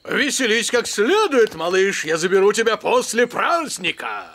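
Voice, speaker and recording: Gravelly, high-voiced jovial uncle. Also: male voice, sing-song, moderate pace, heavy background noise. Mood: hearty. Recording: clear music behind the voice.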